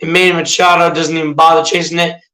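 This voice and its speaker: A young man calls the game pitch by pitch on his own livestream, breaking off to chat with viewers. His voice is a little rough but bright and fairly high. The sound rings in an echoey room. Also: little pitch movement, quick pace. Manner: chats with viewers between calls. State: slightly rough voice. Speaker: livestream play-by-play caller. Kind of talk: amateur play-by-play commentary.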